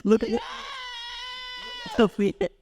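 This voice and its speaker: A man's voice: high voice, huge pitch swings, slow, shouting, very noisy. A man with a Swiss accent, co-hosting a casual chat that reviews a show, telling stories and talking straight to viewers. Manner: chatty, storytelling, addresses viewers as you guys. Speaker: drag queen podcast co-host.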